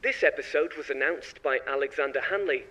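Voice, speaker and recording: A man's voice: fairly high pitch, a little fast, sharp tone. A man reading a scripted announcement as a podcast announcer, with a slightly dull, radio-like sound.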